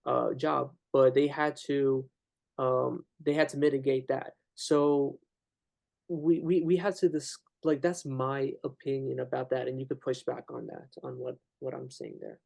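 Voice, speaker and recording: A man's voice: mid-range voice, level tone, gravelly, a little mellow. A young man, the interviewer, debating casually with frequent restarts and fillers. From Ukraine. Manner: halting, many restarts and fillers.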